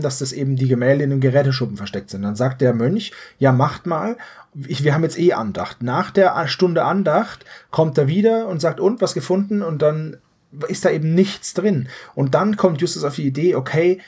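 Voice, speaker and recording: A man co-hosting a podcast, casually retelling a story in analytical detail and correcting himself as he goes, in a slightly husky voice. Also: a little high, a little mellow. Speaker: podcast co-host.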